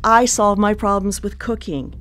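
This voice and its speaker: An actress in a radio play playing a controlling, composed cannibal cook who dotes menacingly on family. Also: female voice, medium pitch, fairly even intonation, moderate pace, fairly bright tone, soft background music, lightly acted. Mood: coy, menacing. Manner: composed, coy, domineering. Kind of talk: live radio play acting.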